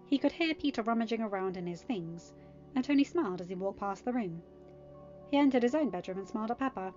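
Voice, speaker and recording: A woman's voice: medium pitch, lively intonation, fast, a little mellow, lo-fi sound. A woman reading scripted story narration as an audiobook narrator. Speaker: podfic narrator. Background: music.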